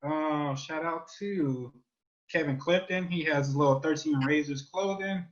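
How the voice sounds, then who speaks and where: Male co-host, moderately high pitch, informal slangy podcast talk with profanity, muffled lo-fi recording. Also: unhurried. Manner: informal, slangy, swears freely. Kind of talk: casual podcast shout-outs. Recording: dull, lo-fi audio.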